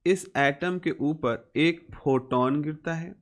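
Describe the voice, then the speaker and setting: A man's voice: a little high, slow. A man with an Indian accent lecturing as a physics teacher, explaining step by step and repeating key phrases.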